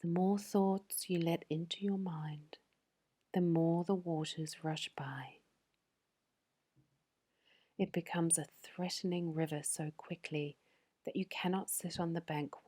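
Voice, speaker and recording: Woman, guided sleep meditation, breathy whisper, slow, soothing, low-pitched. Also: fairly even intonation, dark tone.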